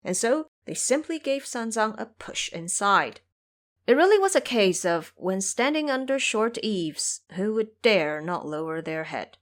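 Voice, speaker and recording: A woman's voice: medium pitch, very animated, unhurried. A woman narrating a scripted audio drama as a dramatic storyteller.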